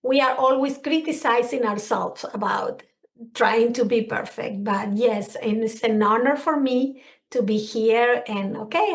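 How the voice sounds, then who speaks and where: Slow-spoken Spanish-accented woman. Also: on the high side. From Spanish-speaking country. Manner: earnest, expressive, searching for words. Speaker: interspiritual counselor, podcast guest. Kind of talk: conversational interview.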